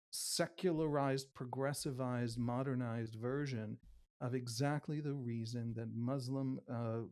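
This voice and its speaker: Mid-pitched male guest with a Wisconsin accent; fluent, impassioned analyst who stacks lists and repeats for emphasis. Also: a little mellow. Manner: fluent, building lists and repetition.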